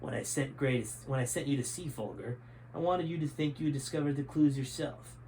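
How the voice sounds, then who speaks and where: A man's voice: medium pitch, fairly quick, a little mellow, natural voice, poor audio. A man reads as a scowling male schemer from an adventure storybook. He sounds strained and short-tempered, with menace in his words, over faint background noise. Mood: smug.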